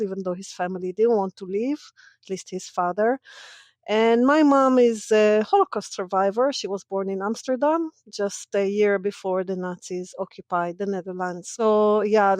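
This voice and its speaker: A woman's voice: mid-range voice, darkish tone, no echo. A middle-aged woman from Israel, a native Hebrew speaker, speaking as an academic guest in a casual podcast interview, thoughtful and often laughing between points. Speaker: podcast guest, senior lecturer in religious studies.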